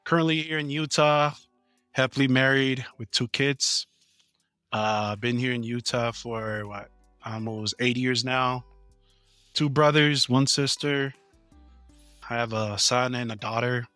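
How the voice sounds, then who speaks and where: A man in his thirties from American Samoa talks through his work in a loose, halting way, filling gaps as he goes. His voice is bright and forward, recorded close and dry with faint music underneath. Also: mid-range voice, no room sound. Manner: relaxed, halting, many fillers. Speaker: business owner guest. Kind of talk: casual interview answers.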